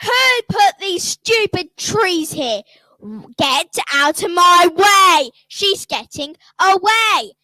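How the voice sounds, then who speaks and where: Here is a screeching, ravenous old witch, shrieking in a very high voice and dragging out every word slowly. She snarls and growls with greedy fury in a children's radio drama. The sound is dry and close. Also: expressive, pushed and bright, no room sound, full character voice, poor audio. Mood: raging. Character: screeching hungry old witch, furious and frantic.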